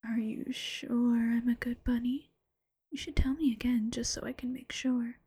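A woman voicing an affectionate, teasing bunny-girl girlfriend in a slow, coaxing ASMR whisper. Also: fairly high pitch, fairly even intonation, mellow, husky. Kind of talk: ASMR roleplay.

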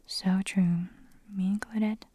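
A woman whispering in an ASMR audio, her voice hoarse and raspy as she nearly loses it, giggling and making chatty, self-deprecating asides. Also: medium pitch, steady tone, slow pace, dark tone, slight background noise. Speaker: ASMR creator.

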